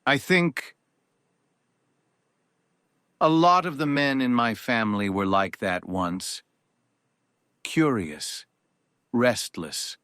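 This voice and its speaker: An actor playing a grieving, reserved man from a powerful family, giving a solemn eulogy in measured, halting phrases. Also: fairly low pitch, a bit bright, no room sound, clean studio sound. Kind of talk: solemn eulogy in audio drama.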